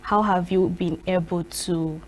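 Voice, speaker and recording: Interview host: woman, lowish voice, quick nods and probing questions. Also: fairly even intonation, a little mellow. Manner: brief affirmations, probing questions. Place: studio.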